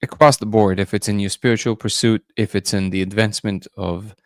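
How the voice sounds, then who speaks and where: A man's voice: fairly low pitch, fairly even intonation, brisk, no echo, studio quality. A man hosting a casual livestream, musing loosely and greeting viewers by name. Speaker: livestream host.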